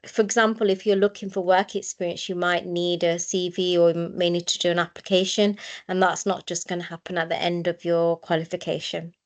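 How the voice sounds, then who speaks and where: A woman's voice: on the low side, level tone, fairly quick. A woman careers adviser talking on a video call in an upbeat, friendly way with many fillers. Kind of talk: online presentation. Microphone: video call mic.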